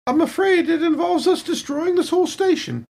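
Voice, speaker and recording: A woman playing a suspicious, smooth-talking female space station agent with arch, hesitant politeness in a tabletop game. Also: very high voice, moderate pace, darkish tone. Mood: conspiratorial. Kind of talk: improvised tabletop roleplay.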